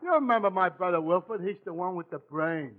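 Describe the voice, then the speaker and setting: A man playing a slow-witted, stammering tavern regular in 1940s radio comedy. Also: high voice, close to an everyday voice. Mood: dopey, earnest. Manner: halting, dim, stammering. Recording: muffled, narrow-band vintage radio recording.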